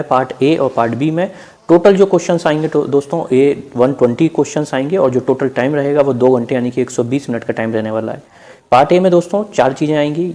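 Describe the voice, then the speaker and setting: Mellow-voiced Indian man explaining. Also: mid-range voice. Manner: instructional, addresses listeners as friends; mixes in English terms. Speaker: exam preparation video presenter. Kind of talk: informal explainer narration over on-screen slides.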